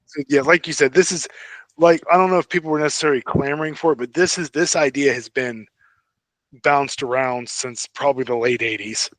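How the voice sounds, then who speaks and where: A man co-hosting a podcast in casual fan chat, thinking aloud in a halting way. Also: medium pitch, fairly even intonation, fairly bright tone, no room sound, rough recording. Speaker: podcast co-host.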